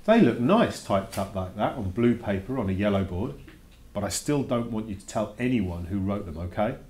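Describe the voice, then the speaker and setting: A man's voice: on the low side, very animated, moderate pace, darkish tone. Man reading as a reluctant, grumbling schoolboy. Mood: earnest, thoughtful. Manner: reluctant, questioning, emphatic. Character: reluctant schoolboy writing a grudging journal to his teacher. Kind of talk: dramatic read-aloud of a children's verse novel.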